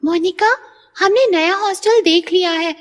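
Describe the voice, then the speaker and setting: A woman's voice: very high-pitched, unhurried, voice pushed up. A woman voicing an anxious young hostel resident in a cartoon, speaking with worried urgency. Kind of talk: cartoon voice acting. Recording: reverberant, with music behind.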